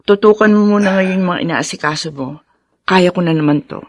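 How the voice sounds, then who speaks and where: A woman's voice: lowish voice, a little fast, natural voice. An actress playing a lonely, earnest middle-aged woman in a Filipino radio drama, speaking with a heartfelt, sighing manner. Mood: weary, grateful.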